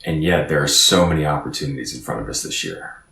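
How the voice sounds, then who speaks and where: A man's voice: extremely low pitch, quick pace, creaky, bright, forceful tone, roomy sound, far from the mic. A man in his thirties giving an earnest, reflective motivational talk as a podcast guest, often repeating words as he thinks. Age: 30s.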